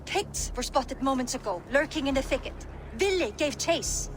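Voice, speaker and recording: Urgent, high-voiced Viking scout. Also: female voice, expressive, normal speed, music in the background. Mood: urgent, alarmed. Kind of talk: dramatic game cutscene dialogue.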